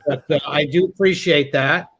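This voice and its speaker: Host, male, slightly high voice, casual jokey panel talk. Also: normal speed, fairly bright tone, breathy edge. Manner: joking, teasing sales pitch. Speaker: host of live call-in stream.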